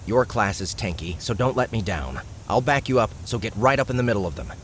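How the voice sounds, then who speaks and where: Brief: pragmatic fantasy party leader, acted by a man, lowish male voice, dry and bossy, grumbling gamer; faint noise behind. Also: animated, fairly quick, fairly bright tone. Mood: commanding. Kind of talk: audiobook character acting.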